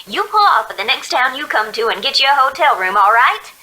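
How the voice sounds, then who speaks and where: A woman's voice: sits high, sing-song, brisk, pushed and bright, exaggerated voice, rough recording. A woman acting a sassy, blunt, fed-up young female hitchhiker in a radio drama. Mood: sassy, dismissive.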